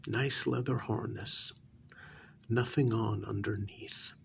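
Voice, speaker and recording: Vengeful male vampire , performed by a man, ASMR role-play. Lowish, breathy, quietly threatening, very unhurried delivery, faint noise behind. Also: steady tone. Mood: sadistic menace. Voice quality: breathy half-whisper with gravelly fry. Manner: slow, deliberate, quietly threatening with drawn-out pauses. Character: cold, vengeful male vampire lord, menacing and cruel.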